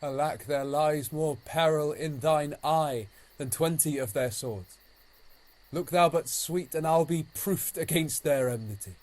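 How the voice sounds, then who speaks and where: A man's voice: on the high side, a little slow, a little mellow, lightly acted. A man acting an ardent young romantic lover in a Shakespearean audio drama, with theatrical verse delivery, recorded at home on a phone.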